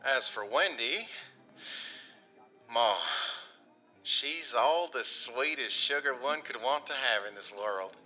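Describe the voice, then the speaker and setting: An actor playing an earnest, rough-edged working man, folksy, halting and sincere, in an audio drama monologue. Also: mid-range voice, pushed and bright, highs cut off, heavily acted. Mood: earnest, tender. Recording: music behind the voice.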